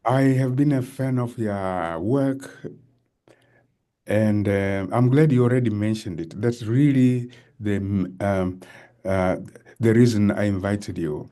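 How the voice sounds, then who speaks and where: A man's voice: lowish voice, slow pace, warm tone. A man hosting an interview show, chatting with his guest in an enthusiastic way. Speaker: host. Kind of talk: interview chat.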